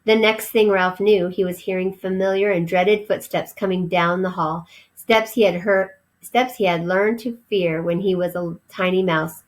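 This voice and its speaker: A woman's voice: lowish voice, steady tone, moderate pace, poor audio. A woman reading a children's storybook aloud as narrator, explaining things as if to young listeners.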